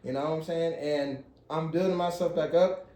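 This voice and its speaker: A man's voice: a little high, fairly even intonation, moderate pace, mellow, roomy sound. A man in his thirties chatting casually as a podcast co-host, in an earnest, slangy, repetitive way. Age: 30s. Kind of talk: casual chat.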